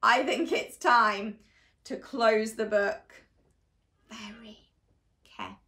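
A young woman with a high, bright voice reads a story aloud for little children. Her delivery is very slow and deliberate, with exaggerated expression that invites listeners to join in. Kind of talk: animated read-along storytelling for young children. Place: small living room, on a sofa indoors.

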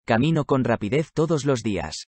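Man with a lively, varied reading voice. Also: medium pitch, normal speed, slightly rough, dry recording. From Spain. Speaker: language lesson speaker. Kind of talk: reading practice sentences aloud.

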